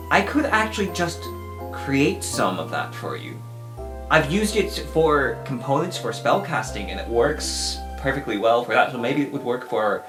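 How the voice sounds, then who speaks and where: Low-voiced, lively woman in roleplay. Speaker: tabletop roleplay podcast player. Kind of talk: casual improvised roleplay chat. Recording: echoey room, music behind.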